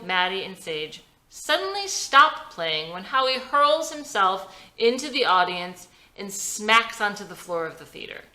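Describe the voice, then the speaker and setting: Woman narrator, scripted screenplay reading, animated, forceful bright tone, roomy recording. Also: mid-range voice, creaky. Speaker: script reader and writer. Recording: roomy, echoey sound.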